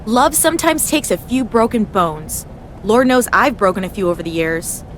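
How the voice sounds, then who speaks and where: A woman's voice: mid-range voice, animated, normal speed, lightly acted, poor audio. A woman playing a tough, flirtatious wrestler in a scripted game cutscene, admiring and seductive, with noise behind her.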